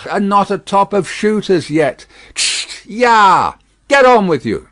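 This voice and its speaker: A man voicing a vexed, wary stagecoach driver in an audiobook, loud, exclamatory and grumbling. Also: a little high, sing-song, moderate pace, breathy edge. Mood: vexed, urging.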